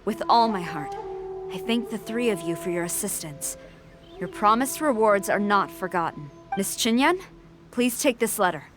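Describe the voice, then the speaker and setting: Lady doing a stern formal lady general from a game, mid pitch, commanding and clipped. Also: expressive, normal speed, over music, close to an everyday voice. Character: stern female military general, formal and dutiful. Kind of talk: video game cutscene dialogue.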